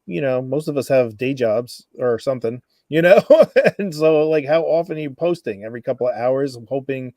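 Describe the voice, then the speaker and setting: A man's voice: mid-range voice, expressive, normal speed, few pauses, dark tone, no echo, studio quality. A man chatting casually as a guest on a panel.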